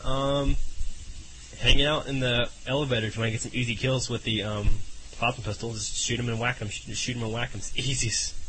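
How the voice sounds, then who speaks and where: A man co-hosting a casual gaming podcast, talking in a relaxed, hesitant way with many fillers. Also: medium pitch, a little fast, background noise. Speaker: podcast co-host.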